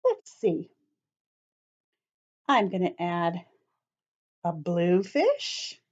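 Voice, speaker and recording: A woman teacher leading young children through a calming drawing activity, speaking gently and encouragingly straight to them. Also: fairly low pitch, extremely slow. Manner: gentle, encouraging, talks directly to kids. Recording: dull lo-fi home video sound.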